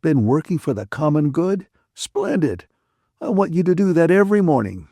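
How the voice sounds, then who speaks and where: A man's voice: big pitch swings, normal speed, mellow, no echo, clean studio sound. An audiobook narrator voicing a teasing, wisecracking young man who lectures his friend in a playful, mocking way. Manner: mock-lecturing, bantering, high and lilting. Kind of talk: audiobook character voice.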